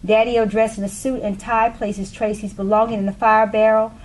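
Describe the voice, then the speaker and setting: A woman's voice: a little high, little pitch movement, normal speed, rough recording. A woman narrating a play, reading the scripted stage directions aloud. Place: live readers theatre room. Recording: faint background noise.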